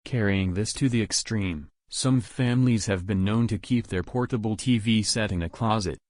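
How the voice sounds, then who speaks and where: Low-voiced man reading a passage aloud. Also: moderate pace, darkish tone, no room sound, clean studio sound. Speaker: model reader for exam practice.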